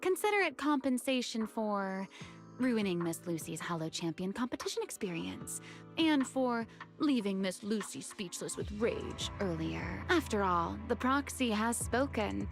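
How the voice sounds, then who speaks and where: A woman's voice: mid-range voice, big pitch swings, measured pace, faint music behind. An actress playing a sly, elegant, teasing woman in an anime video game, polite and coy with theatrical mockery.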